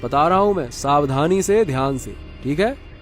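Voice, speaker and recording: A man's voice: on the high side, lively intonation, normal speed, faint music behind, natural voice. A man acting an evasive, dismissive working father in a Hindi cartoon moral story. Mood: secretive, cautioning.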